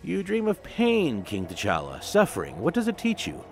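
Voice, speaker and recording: A man voicing a solemn, cryptic female high priestess, speaking slowly and ominously for a comic-book retelling. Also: huge pitch swings, vocal fry, darkish tone, exaggerated voice. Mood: probing.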